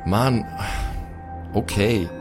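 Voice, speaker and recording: A man improvising role-play as a tabletop player in halting, slangy, colloquial speech. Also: lowish voice. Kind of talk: improvised in-character role-play mixed with casual table talk. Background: background music.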